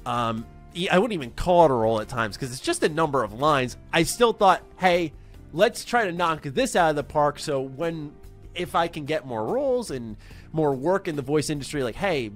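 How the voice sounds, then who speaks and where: A young man talking casually to camera from a home gaming room into a close desk microphone, animated and upbeat, with quick bursts of excitement. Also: fairly high pitch. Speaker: gaming YouTuber and voice actor. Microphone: large desk mic close up.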